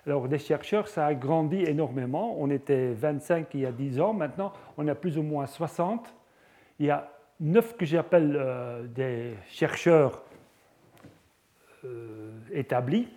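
A middle-aged man with a Flemish accent gives a scientific talk. His voice sits a bit high yet sounds mellow and soft-edged. He speaks haltingly as a non-native speaker, pausing on fillers and restarting his phrases. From Belgium (Flanders). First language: Dutch (Flemish). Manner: hesitant, many fillers and restarts. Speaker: lecturer. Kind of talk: scientific lecture with slides.

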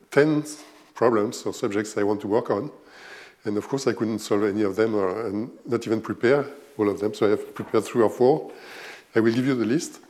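A man's voice: lowish voice, a little mellow. An older man with a German accent giving a seminar lecture in English, hesitant, with many fillers and restarts. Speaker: guest speaker at a physics seminar. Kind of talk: academic lecture.

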